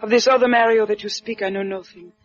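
A woman's voice: medium pitch, fairly even intonation, close to an everyday voice. Proud, sorrowful Cuban woman acted in radio drama. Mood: sorrowful, cold. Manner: formal, measured, melodramatic. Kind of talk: 1950s radio drama acting. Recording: muffled old radio recording, music.

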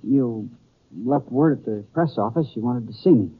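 An actor playing a proud, wounded young American suitor in a 1950s radio western, speaking bluntly and tersely. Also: male voice, mid-range voice, normal speed, breathy edge, lightly acted. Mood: stiff, curt. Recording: muffled, band-limited 1950s radio recording.

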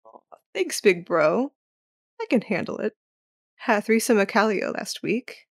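A woman playing a cool, sardonic young operative and teasing sister in a sci-fi audio drama, with a deadpan delivery. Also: medium pitch, measured pace, vocal fry, no echo, studio quality.

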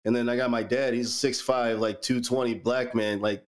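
Relaxed storytelling man in his forties. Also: medium pitch, moderate pace. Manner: rambling, repeats words, relaxed. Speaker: podcast co-host. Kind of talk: casual chat, personal storytelling.

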